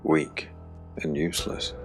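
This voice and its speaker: A man narrating a dark fantasy audiobook drama in measured, weighted phrases. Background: background music.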